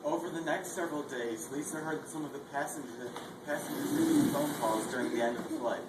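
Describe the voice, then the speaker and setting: A steady stage narrator in a student readers theatre, voice of middle pitch. The reading rings around an echoey hall, with plenty of noise behind it. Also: fairly quick.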